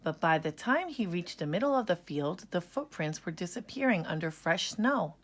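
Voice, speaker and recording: A woman narrating a children's story in an expressive read-aloud style. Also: lowish voice, moderate pace.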